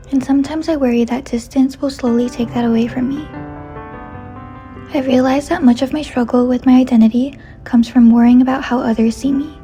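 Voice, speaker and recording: A woman in her twenties from New York City, whose first language is Chinese, reading a reflective personal voice-over in a soft, measured way. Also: a little high. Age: 20s. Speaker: narrator. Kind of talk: scripted personal narration.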